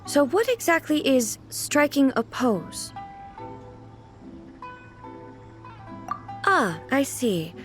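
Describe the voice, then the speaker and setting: A woman's voice: high voice, sing-song, exaggerated voice. A woman playing an immortal, regal game ruler who is thoughtful, formal and naive about modern things. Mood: flustered, curious. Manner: formal, contemplative, measured phrasing. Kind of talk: video game voice acting. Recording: music playing behind the voice.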